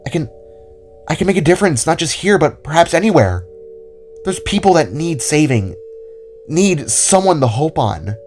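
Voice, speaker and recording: A man's voice: fairly high pitch, big pitch swings, normal speed, long pauses, darkish tone, voice pushed up. Young heroine played by a man, hopeful, heartfelt, hesitant audio-drama delivery with a music bed. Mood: hopeful, determined. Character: earnest, idealistic young woman with powers. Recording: music under the voice.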